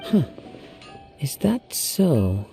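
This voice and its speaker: A man playing a polite young wandering samurai in a video game, calm, soft-spoken and formal. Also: on the high side, extremely slow, gravelly, faint music behind, heavily acted. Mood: calm, serious. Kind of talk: scripted game dialogue, period drama acting.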